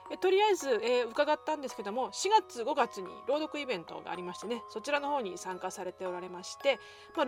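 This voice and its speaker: Woman podcast host, highish voice, super polite n chatty, bit of music under her. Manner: polite, effusively apologetic and thankful. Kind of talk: chatty show outro to listeners.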